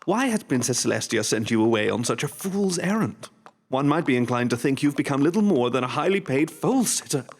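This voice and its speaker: A man's voice: medium pitch, lively intonation, fairly quick, a little hiss or hum, natural voice. A man playing a sly, mocking royal vizier in a fan-made radio drama, theatrical, insinuating and mock-polite. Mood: mock-pitying, sly. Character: sly, mocking male royal vizier, needling an old rival. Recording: long hall reverb.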